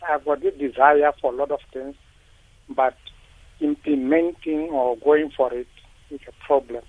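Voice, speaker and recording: A man calling in to a radio show, explaining his problem haltingly and searching for words. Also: a little high, fairly even intonation, no room sound. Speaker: caller. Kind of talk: call-in question on the phone. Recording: tinny phone line.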